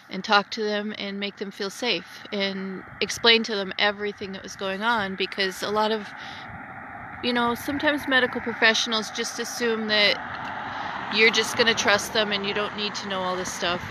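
An older woman co-hosting a casual livestream speaks in a gentle, soothing way. Her voice is mid-pitched and fairly bright. Faint outdoor noise sits behind her. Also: rough recording. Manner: soft, gentle, soothing way of talking. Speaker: co-host, former nurse.

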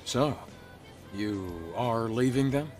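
Casting: steady game mission guide, average male pitch, serious and factual, faint music underneath. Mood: serious, calm. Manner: measured, matter-of-fact briefing. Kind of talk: video game cutscene dialogue.

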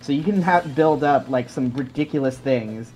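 A man guesting on a gaming stream, rambling excitedly as he explains things. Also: mid-range voice, moderate pace, soft background music, rough recording. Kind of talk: casual gaming chat.

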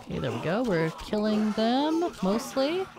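A woman's voice: medium pitch, big pitch swings, unhurried, a crowd in the background. A woman hosting a gaming video, casually thinking aloud and explaining as she plays. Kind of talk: casual gameplay commentary.

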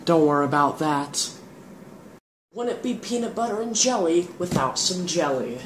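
A man's voice: fairly high pitch, lively intonation, a little slow. A teenage young man casually hosting a homemade cooking show in a laid-back, goofy, slangy way, showing each step in a home kitchen. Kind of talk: casual vlog presenting, demonstrating steps. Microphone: camera on the counter, about a metre away. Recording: clearly noisy homemade camera audio.

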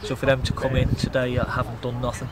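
A middle-aged man, a youth football coach giving a measured, matter-of-fact post-match interview outdoors. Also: medium pitch, monotone, over a noisy crowd. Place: outdoors beside a grass football pitch.

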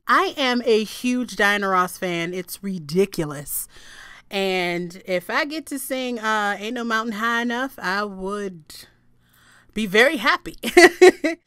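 A young woman chatting casually to her webcam in a small room, laughing at herself and breaking into bits of song, with a low register voice. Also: lively intonation, slow pace, fairly bright tone, smooth, no room sound, clean studio sound. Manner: giggly, self-deprecating, breaks into song. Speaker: vlogger, singer. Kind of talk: casual chat to camera, singing snippets.

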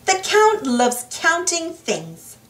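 A woman reading a children's storybook aloud in an expressive, singsong way. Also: very high voice, slow. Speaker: storybook reader, channel host. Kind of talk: children's read-aloud narration. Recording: roomy, distant mic, soft music behind.

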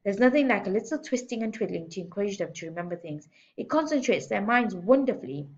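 A woman's voice: medium pitch, lively intonation, quick pace, darkish tone, highs cut off, close to an everyday voice. A woman voicing a domineering, contemptuous headmistress who barks and sneers in a children's storybook reading. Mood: smug, gloating. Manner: barking, scolding, sneering insults. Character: domineering, bullying adult headmistress, contemptuous and loud. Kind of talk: children's storybook read-aloud.

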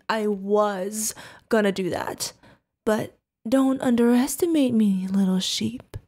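Insane yandere woman, very slow drawn-out delivery, high voice, creepy, giggly, mockingly sweet, sadistic, ASMR roleplay. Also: darkish tone. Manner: creepy, giggling, teasing singsong, drawn-out threats. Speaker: voice actor.

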